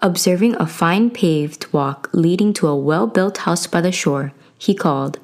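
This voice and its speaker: Female storyteller for folktale narration, slightly low register, reading from a script. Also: measured pace, a little mellow.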